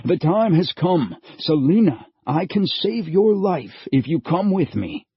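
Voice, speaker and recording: A man voicing a mysterious old stranger who speaks in a grave, measured, gentle way. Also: a little high, big pitch swings, slightly rough, dry recording, pitched above the performer's own voice, exaggerated voice. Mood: urgent. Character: mysterious ancient male stranger, calm, sorrowful. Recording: dull lo-fi audio.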